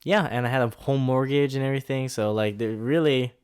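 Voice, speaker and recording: A man's voice: medium pitch, fairly quick, darkish tone, clean tone. A man in his twenties, co-hosting a podcast in a laid-back, rambling way, often laughing. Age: 20s. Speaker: podcast co-host. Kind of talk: casual chat.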